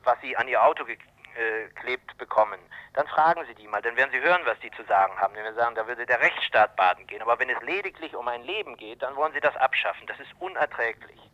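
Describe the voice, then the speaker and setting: Dull lo-fi radio sound; male interviewee, medium pitch, blunt and emphatic, halting. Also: sharp tone. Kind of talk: spoken opinion in a radio interview.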